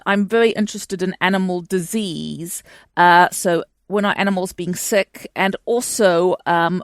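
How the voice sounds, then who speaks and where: A woman's voice: on the low side, no room sound. Woman from chile chatting as a podcast guest, lots of ums and restarts, dry close sound. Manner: chatty, many fillers and restarts. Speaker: guest historian. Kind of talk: conversational interview answers. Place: home in London.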